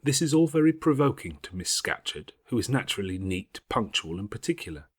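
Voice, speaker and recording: A man voicing a patient, devout, bookish older schoolgirl in an audiobook, speaking in a measured, earnest, moralising way. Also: fairly low pitch, sing-song, slightly rough, dry recording, studio quality, voice pushed up. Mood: grave, self-reproachful. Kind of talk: audiobook character voice.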